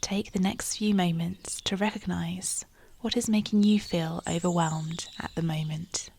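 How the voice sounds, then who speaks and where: Woman, very slow pace, lowish pitch, breathy soft voice, calm meditation guide, soothing delivery. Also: slight background noise. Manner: calm, soothing, measured with long pauses.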